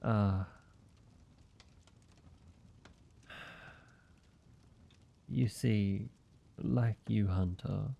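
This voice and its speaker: A man playing a slow, creaky-voiced teasing vampire. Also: on the low side, fairly even intonation, muffled recording, heavily acted. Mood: sympathetic, hesitant. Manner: playful mock-menacing, teasing, drawn-out delivery. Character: teasing, ancient male vampire, courteous and flirtatious. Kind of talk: scripted roleplay audio drama.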